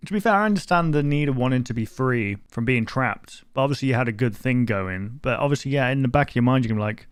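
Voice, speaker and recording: A man's voice: mid-range voice, lively intonation, quick pace, smooth, no room sound, clean studio sound. A man giving blunt, informal running commentary as a reaction video host, sometimes reading text aloud.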